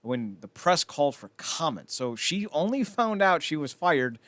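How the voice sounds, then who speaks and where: A man's voice: on the high side, sing-song, moderate pace, a bit bright, dry recording. A man chatting casually as a podcast host, rambling, opinionated and freely profane. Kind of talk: casual podcast chat about films and entertainment news.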